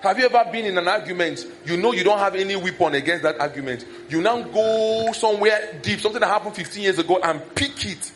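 A man's voice: high voice, fast talker, hardly any pauses, a bit bright, a little hiss or hum. A man preaching a conversational sermon that is full of personal stories.

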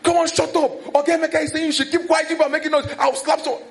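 A man acting out an angry woman secretary who shouts down a quarrel in a loud, commanding voice. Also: extremely high pitch, flat delivery, fast, gravelly, voice pushed up. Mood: fierce.

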